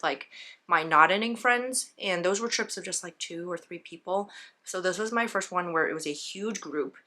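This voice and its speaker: A woman hosting a knitting video, chatting casually while her hoarse voice recovers and clearing her throat often. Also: fairly low pitch, pushed and bright. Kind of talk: casual vlog recap.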